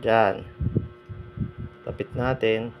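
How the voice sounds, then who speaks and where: A man giving a casual, halting product review as a motorbike vlogger, with lots of fillers. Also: on the low side. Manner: relaxed, halting, many fillers. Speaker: moto vlogger reviewing an intercom. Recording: clear background noise.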